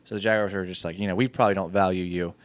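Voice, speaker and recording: A man co-hosting a casual sports podcast, explaining his points in a measured way. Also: low voice, fairly even intonation, no room sound. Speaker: podcast co-host.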